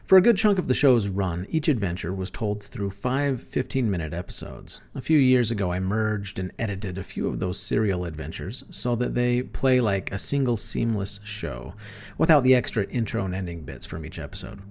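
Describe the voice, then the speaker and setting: Man, podcast host, scripted intro, gravelly fry, animated intonation. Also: fairly low pitch, normal speed.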